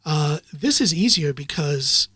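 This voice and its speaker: A man's voice: on the high side, expressive, unhurried, sharp tone, breathy edge, no echo, studio quality. A man, a podcast host being interviewed, who talks in a rambling, reflective way with many ums and uhs. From United States. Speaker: podcast host in the guest chair. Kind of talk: casual interview chat.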